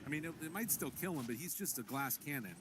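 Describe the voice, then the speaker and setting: A man's voice: on the high side, expressive, fast, noisy background. A man doing live play-by-play commentary on an esports match, calling the action in a reactive, dramatic way.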